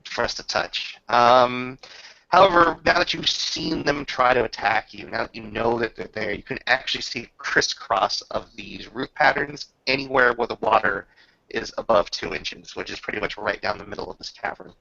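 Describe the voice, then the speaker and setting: A man's voice: mid-range voice, normal speed, vocal fry, bright, forceful tone, dry recording, muffled recording. A man improvising descriptive narration as game master of a tabletop roleplaying session.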